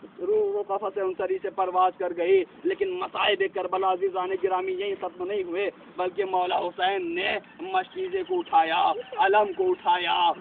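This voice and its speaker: Man wailing a lament, high gravelly voice. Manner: impassioned, shouting and wailing. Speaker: majlis reciter. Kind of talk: lamenting religious recitation. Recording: tinny phone-like with faint noise.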